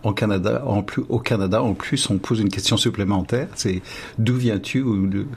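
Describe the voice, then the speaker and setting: A man's voice: lowish voice, fairly even intonation, moderate pace. Guy hosting a chat interview, repeats himself a bit, some noise behind him. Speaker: interviewer, host. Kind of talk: warm conversational interview.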